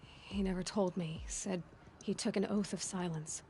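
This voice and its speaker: A woman's voice: on the low side, steady tone, normal speed, warm tone, slight background noise, lightly acted. A woman voicing a blunt young huntress. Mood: earnest. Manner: earnest, direct. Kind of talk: video game dialogue.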